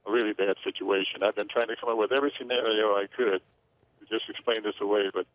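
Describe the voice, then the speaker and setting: A man with a lowish voice gives expert analysis over a tinny phone line. He talks in a measured, hesitant way, pausing on fillers as he weighs each point. The sound is dry and close. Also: steady tone, dry recording. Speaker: aviation analyst.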